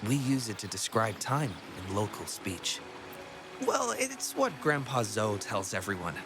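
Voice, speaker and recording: An actor playing a friendly, talkative townsperson who shares local lore in a video game, in a conversational storytelling way. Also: male voice, fairly low pitch, huge pitch swings, measured pace, music in the background. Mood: chatty.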